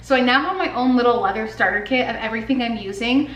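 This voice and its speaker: A woman's voice: on the high side, quick pace. Chatty young woman in an echoey room. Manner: chatty, upbeat, lots of "like" fillers. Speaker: lifestyle vlogger and artist. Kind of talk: casual show-and-tell. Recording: echoey room with faint hiss.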